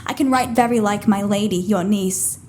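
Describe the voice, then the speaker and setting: A woman playing a sharp-witted, mischievous serving woman who schemes, in a theatrical Shakespearean audio play. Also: mid-range voice, normal speed, a little hiss or hum, close to an everyday voice. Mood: gleeful scheming.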